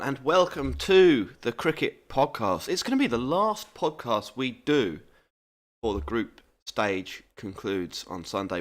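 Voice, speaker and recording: Male podcast co-host, low-pitched, congested and nasal, rambling and self-deprecating. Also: a bit bright. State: congested from hay fever, bunged up. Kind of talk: casual chat.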